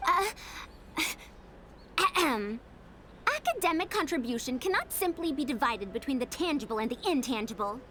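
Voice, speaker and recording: A woman's voice: sits high, sing-song, normal speed, a bit bright, slight background noise. A woman playing a proud, evasive elder scholar with a youthful sound in a video game, lecturing in formal, pompous phrases. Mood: haughty indignation. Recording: game music behind the voice.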